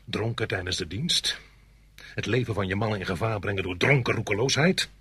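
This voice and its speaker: An actor playing a bitter common soldier in a radio play, hurling emphatic, clipped accusations. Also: male voice, on the low side, fairly quick, fairly bright tone, husky, dry recording. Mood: bitter, accusing. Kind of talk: Dutch radio play acting.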